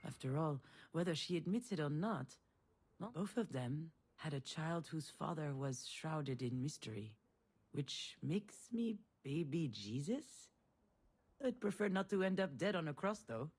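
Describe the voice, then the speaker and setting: A woman's voice: low voice, lively intonation, measured pace, a little mellow, slightly rough, exaggerated voice. A woman playing a protective, reflective older sister in a naturalistic video game drama, earnest and wry. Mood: wry, musing. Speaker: game protagonist. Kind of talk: naturalistic drama voice acting, dialogue and inner monologue.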